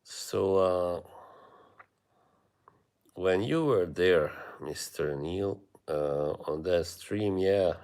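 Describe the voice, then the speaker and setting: A man from Bosnia with a Bosnian accent, chatting casually with viewers on a live stream, rambling and halting with many fillers. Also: sits low, animated, a little mellow.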